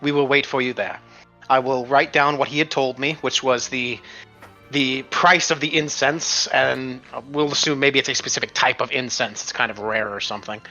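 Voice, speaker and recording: A man's voice: medium pitch, fairly even intonation, normal speed, pushed and bright. A man playing a tabletop roleplaying game, switching between casual table talk and speaking as his character. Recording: muffled lo-fi audio under faint music. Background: music.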